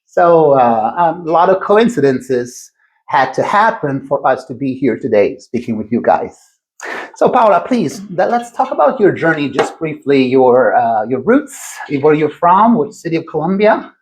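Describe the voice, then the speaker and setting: A man with an Italian accent, a native Italian speaker hosting a podcast interview, earnest and warmly affirming his guest. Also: a little high, sing-song, moderate pace. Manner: earnest, affirming, lots of encouraging backchannels. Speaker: podcast host.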